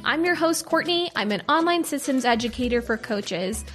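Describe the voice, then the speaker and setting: A woman's voice: sits high, expressive, moderate pace, a bit bright, soft background music. A woman hosting a podcast, upbeat and enthusiastic as she introduces the show and her guest. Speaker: podcast host.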